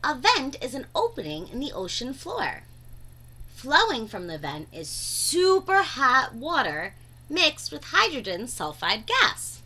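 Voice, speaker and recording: A woman's voice: a little high, pushed and bright. A woman reading a children's storybook aloud expressively, drawing out words for dramatic emphasis. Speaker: read-aloud narrator.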